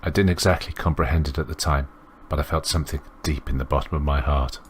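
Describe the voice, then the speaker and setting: A man reading aloud the visual novel narration of a reflective teenage girl detective, in a subdued, measured way. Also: extremely low pitch, fairly even intonation, a little hiss or hum. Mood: quiet, wistful.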